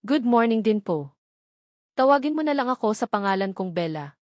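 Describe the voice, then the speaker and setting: A woman's voice: a little high, expressive, normal speed, a little mellow, no echo, clean studio sound, lightly acted. A Filipino woman narrator playing a kind, confident young mistress of a mansion, friendly and casually commanding.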